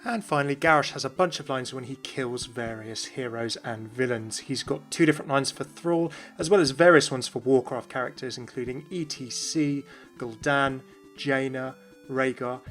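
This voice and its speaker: A man's voice: medium pitch, a bit bright. A man giving scripted explainer narration as the host of a video game lore video.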